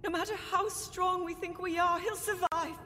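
An actress playing an earnest young princess in a video game, speaking in a solemn, deliberate way. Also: female voice, very high-pitched, steady tone, pushed and bright, a little hiss or hum. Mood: solemn, urgent. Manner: solemn, grave, deliberate delivery. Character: earnest young princess warning her king. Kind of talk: video game cutscene acting. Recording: long reverb, music behind.

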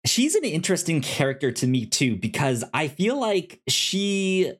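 Male podcast co-host, unhurried and stammering over words, enthusiastic, with big swings in pitch. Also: very animated, slightly rough. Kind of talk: casual film discussion chat.

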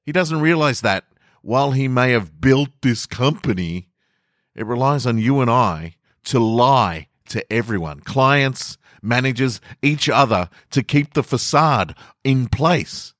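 A man's voice: medium pitch, animated, fairly bright tone, no room sound, studio quality, voice pushed up. A man reading a story monologue as an aggrieved, uncomfortable office employee making an earnest, defiant stand. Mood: impassioned, rallying. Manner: deliberate, emphatic, earnest.

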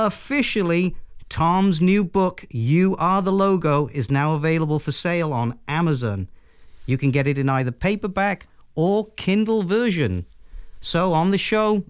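A man's voice: on the high side, smooth, no room sound, clean studio sound. A Welsh man playfully taking over as host of a podcast, talking in an upbeat, jokey way. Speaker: guest host for the day, software executive. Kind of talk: podcast hosting.

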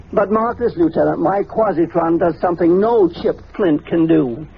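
An actor playing an eccentric, irascible older scientist in an old-time radio drama, pompous and pedantic. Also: male voice, high-pitched, vocal fry. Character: eccentric, irascible older male scientist, self-important inventor. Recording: muffled, noisy vintage radio recording.

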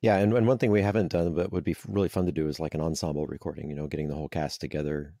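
Deep voiced guy talking fast, voice actor chatting about his work, dry close sound. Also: steady tone, warm tone, no room sound. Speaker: audio drama producer and voice actor. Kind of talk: casual interview chat.

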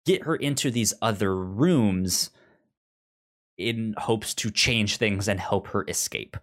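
A man co-hosting a casual review discussion, talking haltingly and often repeating words as he works through his thoughts. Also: fairly low pitch. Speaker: podcast co-host.

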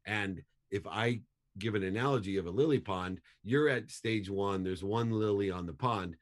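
Brief: man in his fifties, lowish voice, punchy motivational guest who hammers his points home. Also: moderate pace. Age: 50s. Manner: emphatic, punchy, repeats key phrases for effect. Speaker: guest, entrepreneur and motivational speaker. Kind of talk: energetic motivational storytelling.

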